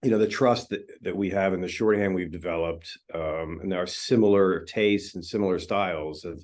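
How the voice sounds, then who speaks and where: A male film editor talking casually in an interview, full of fillers and restarts. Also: low-pitched. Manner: relaxed, chatty, many fillers and restarts. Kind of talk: interview conversation.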